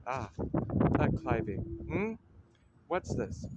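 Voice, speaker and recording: A man's voice: medium pitch, very animated, takes it slowly, muffled recording, noisy background. An actor playing a blunt, coarse man who keeps complaining to his friend. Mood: grumbling.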